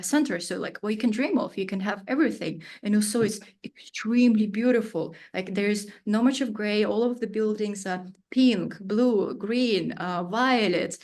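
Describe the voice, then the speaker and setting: A woman in her thirties, a native Russian speaker, answering interview questions with searching phrasing, frequent fillers and non-native grammar. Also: medium pitch. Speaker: studio founder, guest.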